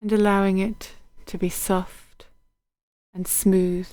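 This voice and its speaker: A woman guiding a body scan meditation in a gentle, unhurried, soothing manner, letting her phrases end softly. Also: medium pitch, little pitch movement, no room sound. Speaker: meditation guide.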